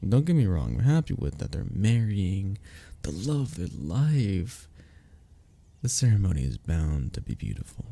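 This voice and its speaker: A young man acting an affectionate, playful best friend in an intimate audio roleplay, soft-spoken and gently teasing, with a gravelly, husky voice. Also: low voice, very animated, normal speed, full character voice. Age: young adult.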